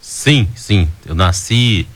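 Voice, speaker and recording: A man's voice: on the low side, animated, extremely slow, no echo. Grateful radio guest, man. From Goiás, Brazil. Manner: grateful, hesitant restarts.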